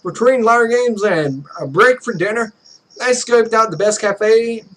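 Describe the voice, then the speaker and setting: A man's voice: high-pitched, unhurried, fairly bright tone, rough recording. A man hosting a let's play, casually reading visual novel text aloud and commenting, often stumbling over the words.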